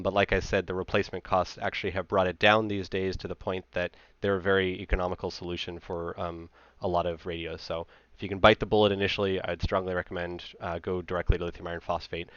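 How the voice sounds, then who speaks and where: A man in his thirties with a low-pitched voice explains technical material as a presenter. His delivery is informal and conversational. The recording is dry and close, with slightly dull, lo-fi audio. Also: little pitch movement, fast, fairly bright tone, no room sound.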